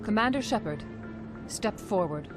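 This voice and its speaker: An actress playing a composed, dignified alien councilor in a game, speaking formally and diplomatically. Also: female voice, medium pitch, lively intonation, moderate pace, natural voice. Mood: solemn. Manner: formal, stately. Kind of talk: formal game cutscene dialogue. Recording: music behind the voice.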